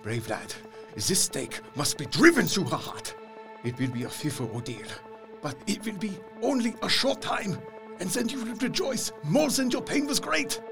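A man's voice: high voice, sing-song, moderate pace, over music. A man playing a solemn, fatherly older professor in a radio play, speaking in a grave, emphatic, rhetorical way. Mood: grave, urging.

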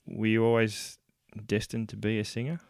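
Deep-voiced Australian man hosting a podcast. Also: level tone, moderate pace, gravelly, clear, resonant tone, no echo, studio quality. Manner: upbeat, laughs easily, many fillers. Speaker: podcast host. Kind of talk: casual podcast chat.

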